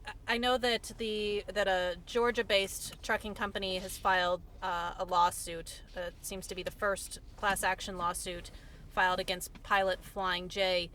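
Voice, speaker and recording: A woman working as a television reporter, asking polite, somewhat halting questions in a phone interview. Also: mid-range voice, little pitch movement, a bit bright, a little hiss or hum.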